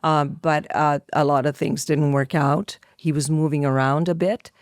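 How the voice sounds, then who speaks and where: A woman's voice: low-pitched, steady tone, normal speed, no echo, clean studio sound. A middle-aged woman from India talking as an interview guest, telling personal stories conversationally and acting out bits of remembered dialogue. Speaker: podcast guest, actor.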